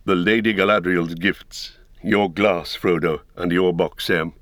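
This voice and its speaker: Man as wise old wizard, calm, stately, measured, low voice, dry. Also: no room sound. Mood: kindly.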